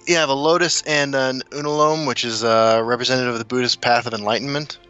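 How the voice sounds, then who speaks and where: A man's voice: mid-range voice, fast talker, clear, resonant tone, highs cut off, soft background music. A man from South Dakota who grew up in Kansas City, chatting casually as a podcast guest and telling rambling stories with easy laughs. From South Dakota, raised in Kansas City. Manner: relaxed, rambling storyteller, laughs often. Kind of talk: casual chat.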